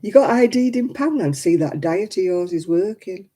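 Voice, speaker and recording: A woman in her seventies hosting a crafting livestream, chatting homely and warmly with viewers by name and laughing often. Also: medium pitch, animated, moderate pace. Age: 70s. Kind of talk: casual chat reading out viewer comments.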